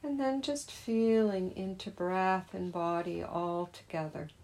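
A woman gently leading a guided meditation as a teacher, in a soothing, unhurried manner. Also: on the low side, rough recording.

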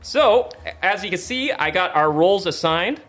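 A man hosting a casual talk show, excitedly rambling with stammers and slipping into hammy script narration. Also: high voice, moderate pace. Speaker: show host. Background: suspenseful crime music playing in the background.

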